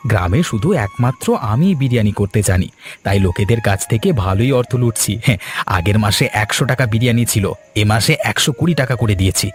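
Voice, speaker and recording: A man's voice: on the low side, quick pace, soft background music, natural voice. A man voicing a greedy, boastful village biryani seller in a cartoon, with a pushy, brash manner. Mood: smug. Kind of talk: Bengali moral-story cartoon dubbing.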